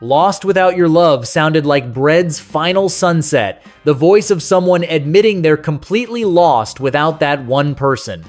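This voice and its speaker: A man's voice: fairly high pitch, animated, a little slow, few pauses. A man narrating a scripted nostalgic music countdown as host, speaking to the listener in a conversational, sentimental way.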